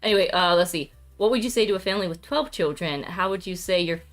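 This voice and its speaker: A young woman hosts a casual stream and talks easily with her mother. She fills her speech with "like"s, and her voice sits a little low. Quiet music plays in the background. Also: fast. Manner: easygoing, many "like"s and fillers. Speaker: streamer host.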